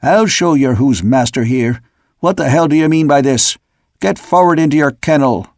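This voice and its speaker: A man voicing a hot-tempered, bullying first mate of a sailing ship in a sea-story audiobook, shouting rough threats. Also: a little high, moderate pace, no room sound, clean studio sound, lightly acted. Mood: furious, threatening. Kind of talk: audiobook character voice.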